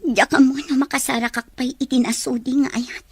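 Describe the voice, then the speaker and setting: Actress as hurt guarded young filipino woman, high creaky voice. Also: steady tone, fairly quick, dry recording. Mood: hurt, wary. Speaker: actress in a family drama. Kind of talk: naturalistic romantic drama dialogue.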